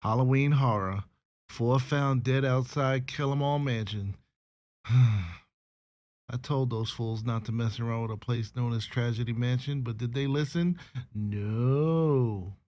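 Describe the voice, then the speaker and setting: A man's voice: mid-range voice, slow, darkish tone, highs cut off, natural voice. An actor playing a smug man who dotes on a pet monster, in a cheesy horror audio drama. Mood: grim, scornful. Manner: grumbling, then cooing baby talk.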